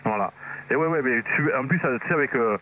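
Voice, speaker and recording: A man's voice: medium pitch, fairly quick, phone-quality sound. Joking man on a humming radio link. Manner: joking, crude, colloquial, repeats himself. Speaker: amateur CB radio operator. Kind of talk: casual bantering radio chat. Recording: noisy radio transmission with hum.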